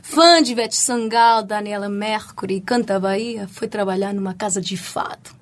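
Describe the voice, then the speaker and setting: A Portuguese woman singer with a European Portuguese accent, chatting in an interview and telling quick, laughing stories. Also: mid-range voice. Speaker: singer in a music group. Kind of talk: casual interview chat.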